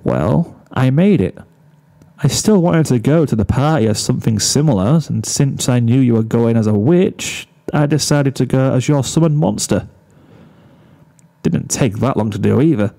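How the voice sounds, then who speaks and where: A man's voice: medium pitch, expressive, moderate pace, gravelly. A man voicing a playful, affectionate young mare pony with a coy, teasing manner in a storybook reading. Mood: casual pride. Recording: soft guitar music behind.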